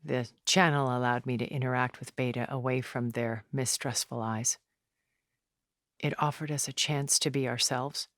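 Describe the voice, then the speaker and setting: A woman acting an elegant, calculating, regretful centuries-old woman in a video game, speaking in a measured, deliberate and intense way. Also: rumbling low, fairly even intonation, a bit bright, no echo, studio quality. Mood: wistful, measured.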